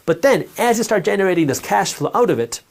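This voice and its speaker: A man's voice: high voice, big pitch swings, fast talker, creaky. A man giving a direct-to-camera personal finance lesson, emphatic and repetitive, talking straight to the listener.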